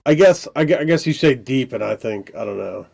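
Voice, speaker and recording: A man's voice: medium pitch, expressive, fairly quick, gravelly, darkish tone, husky. A man with a stuffy, congested voice co-hosts a casual podcast. He rambles and hesitates, trailing off and chuckling between thoughts. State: congested from a cold. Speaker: podcast co-host. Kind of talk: casual chat.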